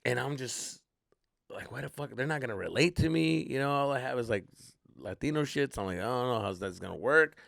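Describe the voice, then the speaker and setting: A man's voice: mid-range voice, fairly quick, vocal fry, dry recording, studio quality. A Mexican-American man telling stories casually as a podcast host and comedian, slangy and sweary, slipping into Spanish and acting people out. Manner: animated, acting out people's reactions. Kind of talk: casual solo podcast storytelling.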